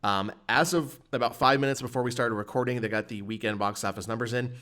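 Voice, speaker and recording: A man with an average-pitched voice hosts a loose comedy podcast. He talks casually and asks his questions deadpan, keeping the banter moving. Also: fast talker. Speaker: podcast host.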